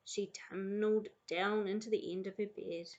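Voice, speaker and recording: A woman teacher narrating a children's story in an expressive read-aloud style. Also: mid-range voice, normal speed, dull audio.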